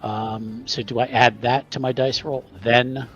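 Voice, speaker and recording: A man's voice: mid-range voice, little pitch movement, measured pace, a bit bright, highs cut off, faint music behind. A man chatting casually as a player at a tabletop game, tentative and thinking aloud.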